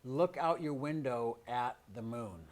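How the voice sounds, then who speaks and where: A man's voice: mid-range voice, measured pace. A man hosting a live arts broadcast in a casual, conversational way. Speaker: host of a live arts radio and TV show. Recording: live broadcast with background noise.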